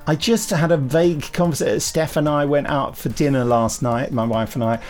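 A man's voice: fairly high pitch, fairly even intonation, moderate pace, darkish tone, faint music behind. A middle-aged man hosting a podcast in a casual, jokey, self-deprecating way. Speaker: podcast host. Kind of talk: casual comic chat.

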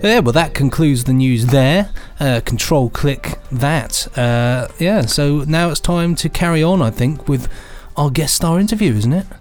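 A British man co-hosting a podcast in casual, rambling comic banter with a deadpan, mock-indignant manner. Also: on the high side, measured pace, few pauses, soft background music. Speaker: podcast co-host.

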